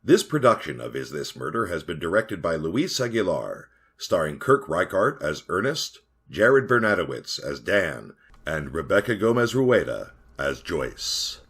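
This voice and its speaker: Podcast announcer, male: deep husky voice, theatrical horror-host delivery with lively, swooping intonation. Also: measured pace. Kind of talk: scripted radio drama outro, theatrical horror-host announcing.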